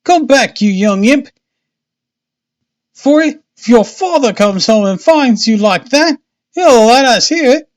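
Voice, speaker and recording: Storybook boatman's wife male reader acting, talkative and fretful, colloquial, pitched well up and loud. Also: expressive, unhurried. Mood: scolding. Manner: chattering, exclamatory, colloquial. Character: harried, talkative working-class Victorian boatman's wife, anxious.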